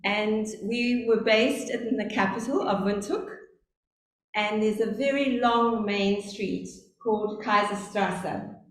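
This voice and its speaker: A middle-aged woman with a South African accent preaching a sermon, telling stories slowly and earnestly. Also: medium pitch. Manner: earnest, storytelling, speaks slowly on purpose. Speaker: lay preacher. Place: church. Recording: very echoey church, faint hum.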